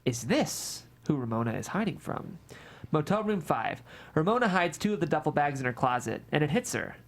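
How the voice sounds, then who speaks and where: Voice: medium pitch, very animated, normal speed. A narrator reading scripted stage directions at a table read of a screenplay.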